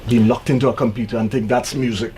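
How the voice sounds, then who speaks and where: A man's voice: mid-range voice, steady tone, fast talker, warm tone, a little hiss or hum. A man hosting a radio interview in a studio, easygoing and agreeable, backing his guest with short affirmations. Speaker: radio show host.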